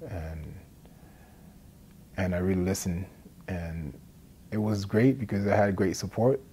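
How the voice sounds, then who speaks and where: A middle-aged man from Liberia gives a personal testimony in a halting, plain-spoken way, often adding "you know". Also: low voice, steady tone, dark tone, slightly rough. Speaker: addiction recovery centre support worker. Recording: noticeable background noise.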